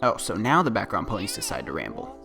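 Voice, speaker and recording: A woman's voice: rumbling low, huge pitch swings, fast talker, vocal fry, over loud music. A woman delivering scripted, nitpicking commentary as a parody reviewer in a sarcastic, mock-critical manner.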